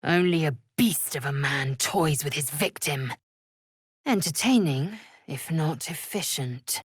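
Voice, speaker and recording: A woman voicing a fierce, haughty young alien warrior in a video game, with a husky, breathy rasp and a clipped, imperious delivery. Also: low-pitched, slow, dry recording, studio quality, full character voice. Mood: scornful, fierce. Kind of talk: video game character voice lines.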